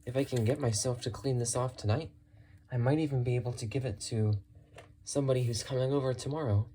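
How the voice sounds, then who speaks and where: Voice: mid-range voice, steady tone, quick pace. Casual hobbyist musing aloud, some background noise. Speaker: radio collector presenting a thrift find. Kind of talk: casual hands-on narration while working.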